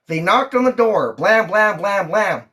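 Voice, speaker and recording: A woman's voice: mid-range voice, lively intonation, a little slow, a bit bright. A woman reading a storybook aloud to a child, acting out the lines expressively. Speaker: mother reading to her daughter on a livestream.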